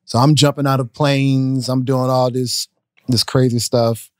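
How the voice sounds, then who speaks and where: A man in his thirties, a business owner telling stories in a casual podcast interview, relaxed and talking with his hands. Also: mid-range voice, measured pace, dry recording. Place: podcast studio, indoors. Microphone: studio mic on a boom arm. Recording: dry close studio sound.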